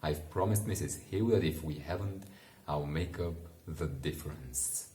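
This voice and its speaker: Man, slow pace, dark soft-edged tone, relaxed read-aloud, casual asides, echoey room. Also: rumbling low, a little hiss or hum. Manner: unhurried, musing, informal. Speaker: bedtime story reader and commentator.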